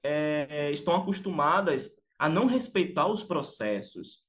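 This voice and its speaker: A man's voice: a little high, a little slow, a loud crowd. A man from Salvador, co-hosting a casual sports podcast and talking with many fillers and restarts. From Salvador, Bahia, Brazil. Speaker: podcast co-host.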